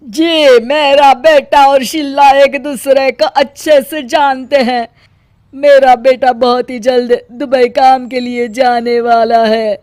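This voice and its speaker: A woman voicing a smooth-talking conman who poses as a father in an animated story. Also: high-pitched, slow pace, no echo, studio quality. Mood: persuasive.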